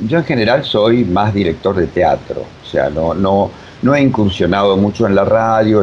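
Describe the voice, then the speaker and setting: An older man with an Argentine Spanish accent answers interview questions conversationally, in a halting, digressive way, often repeating himself. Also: a little mellow. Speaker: interviewed theater director and voice teacher. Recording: dull sound with faint rumbling noise.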